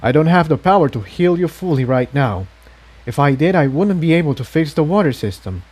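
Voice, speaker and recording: A man's voice: fairly high pitch, animated, brisk, darkish tone. A man voicing an earnest, self-sacrificing male unicorn pony in a storybook reading, talking in a practical, reassuring way.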